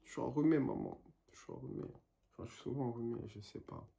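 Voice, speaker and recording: A man's voice: medium pitch, huge pitch swings, fast talker, vocal fry, warm tone, slightly rough. A young man and painter talking in a casual vlog monologue, rambling and self-motivating, dropping English phrases now and then.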